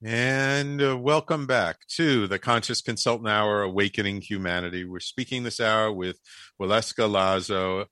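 A man's voice: on the low side, moderate pace, pushed and bright, no room sound. A man hosting a radio talk show, asking curious questions with many restarts. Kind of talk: radio interview.

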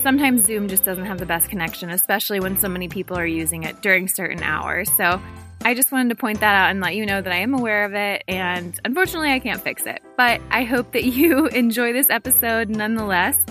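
A woman's voice: mid-range voice, fast, a bit bright, faint music behind. A woman hosting a parenting podcast, reading her intro, then interviewing a guest over a video call. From Ohio, USA. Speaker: podcast host.

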